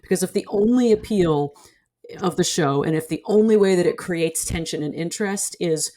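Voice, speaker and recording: A woman's voice: on the low side, moderate pace, warm tone. A woman giving conversational, analytical commentary in an articulate, explanatory way. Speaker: guest scholar and commentator.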